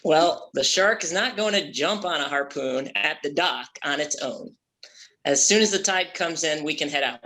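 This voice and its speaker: Someone reading as stubborn shark hunter captain, bossy n sarcastic, folksy, sharp bright voice. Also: mid-range voice, expressive, normal speed. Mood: blustering. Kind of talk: amateur script table read.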